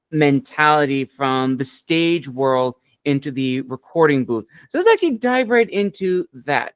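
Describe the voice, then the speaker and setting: A man hosting an interview and asking questions in a measured, polite way. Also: on the high side, fairly bright tone, clean tone, no echo. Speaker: podcast host, interviewer.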